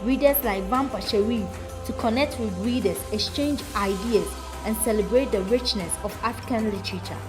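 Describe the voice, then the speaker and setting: Woman reporter, fairly high voice, scripted recap voice-over, soft music under it. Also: normal speed. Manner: polished, upbeat delivery. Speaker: reporter narrator. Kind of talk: scripted narration. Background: music bed.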